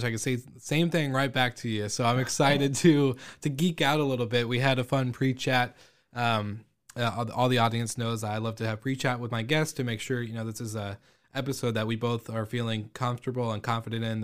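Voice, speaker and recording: A man's voice: mid-range voice, steady tone, brisk. Chatty male podcast host. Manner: upbeat, chatty. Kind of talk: casual interview hosting.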